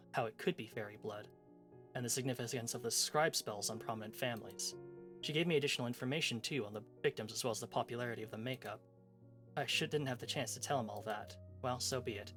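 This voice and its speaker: A man reading aloud the part of a brooding, frustrated professor in a visual novel mystery, in measured, formal wording. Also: medium pitch, a bit bright, lightly acted. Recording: music behind the voice.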